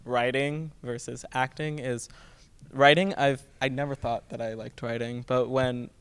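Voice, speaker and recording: A young man speaks as a student actor in an interview. His voice is clear and smooth at a middling pitch, and he answers in an earnest, reflective way. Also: steady tone, measured pace, a bit bright, no room sound. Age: young adult.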